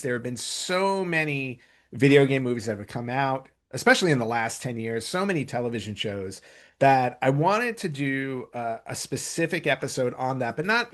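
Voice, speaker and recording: A man's voice: mid-range voice, a bit bright. A man speaking as a podcast host in casual conversation, rambling and often rephrasing himself mid-sentence. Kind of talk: casual conversational podcast talk about entertainment news.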